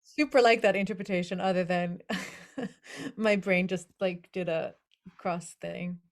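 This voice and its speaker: A woman's voice: on the low side, fairly even intonation, unhurried. Hesitant, thoughtful woman author. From Netherlands. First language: English as first language, also Hebrew and Dutch. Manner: thoughtful, hesitant, many fillers and restarts. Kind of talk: casual interview conversation.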